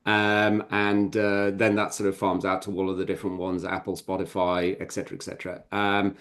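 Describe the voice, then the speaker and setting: A man's voice: low-pitched, completely flat delivery, brisk, a bit bright. A man chatting casually as a podcast guest, rambling a little, with a hesitant, self-deprecating manner.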